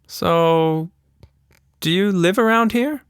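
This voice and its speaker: A man playing a plucky, curious young girl in a children's story, earnest and expressive. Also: high-pitched, slow, clear, resonant tone, dry recording, studio quality, voice pushed up.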